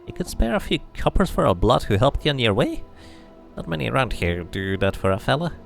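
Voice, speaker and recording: A man's voice: medium pitch, sing-song, brisk, vocal fry, soft background music, pitched above the performer's own voice. A man voicing a wheedling, grateful street beggar from a fantasy game slum.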